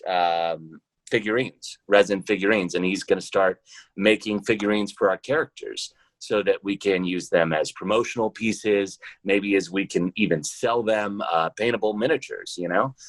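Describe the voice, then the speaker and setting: A man's voice: low-pitched, no room sound, poor audio. A man answering casually and conversationally as the guest in a podcast interview.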